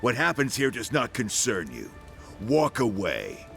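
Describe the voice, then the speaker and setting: Gravelly, menacing male thug, wildly swooping. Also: medium pitch, huge pitch swings, a little slow, fairly bright tone, faint music behind, heavily acted. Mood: threatening. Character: menacing male thug, contemptuous, slow and threatening. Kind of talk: video game voice acting.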